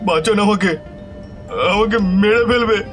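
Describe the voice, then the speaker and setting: A storyteller plays a panicked young city fellow in a ghost tale, his male voice pushed high and loud. He pleads desperately over clear background music. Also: normal speed. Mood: terrified. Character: terrified city man begging for his life.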